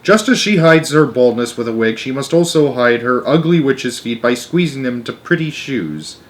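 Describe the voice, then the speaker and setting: A man plays an old storybook grandmother, grave and knowing. The delivery is emphatic and matter-of-fact, relishing grim details, with lively rises and falls in pitch. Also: moderate pace, lightly acted. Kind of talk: storybook read-aloud character.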